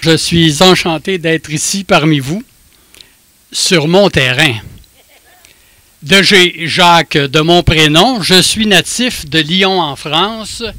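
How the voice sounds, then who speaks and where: A man playing a genial French Sulpician priest from the past, addressing people in a deliberate, teacherly way as a historical re-enactment. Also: fairly high pitch, bright, forceful tone, no echo. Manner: deliberate, teacherly, engages the listeners with questions. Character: long-dead male French Sulpician priest, genial town founder. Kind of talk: first-person historical re-enactment speech.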